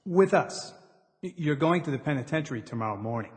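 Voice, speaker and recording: A glib prison guard acted by a man in a radio drama, chatty and high-voiced. His pitch jumps around a great deal, and the words ring out in a long reverb. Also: animated, fairly quick, natural voice. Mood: glib, teasing. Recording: reverberant hall, music behind.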